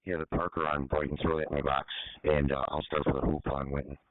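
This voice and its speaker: A man's voice: very deep voice, level tone, quick pace, no room sound. A man working as an emergency responder, giving terse reports over a two-way radio. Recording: tinny narrowband scanner radio audio.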